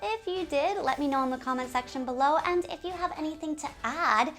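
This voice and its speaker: A woman with a fairly high voice presents a community video series about a game. She is animated and giggly and talks straight to her viewers, mixing scripted lines with asides. Faint music plays behind her. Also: fairly quick.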